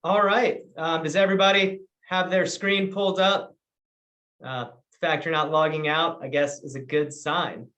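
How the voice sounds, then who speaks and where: Man, slightly high voice, webinar host, organized presenter, occasional ums. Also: steady tone, normal speed, pushed and bright, dull audio. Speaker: webinar host and real estate broker. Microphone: video call.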